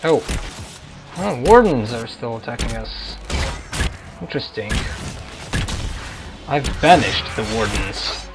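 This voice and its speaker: Man doing halting game commentary over music. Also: medium pitch, sing-song. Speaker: gameplay commentator. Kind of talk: casual video game commentary. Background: video game music.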